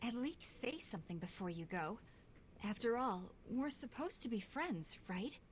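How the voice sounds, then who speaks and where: A woman's voice: a little high, very animated, moderate pace. A woman playing a serious, studious young female researcher in a video game cutscene. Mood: hurt. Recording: noisy game stream capture.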